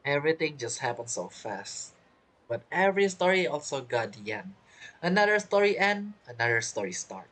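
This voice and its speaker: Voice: mid-range voice, very animated, moderate pace, a bit bright. A game streamer giving casual let's play commentary in non-native English phrasing.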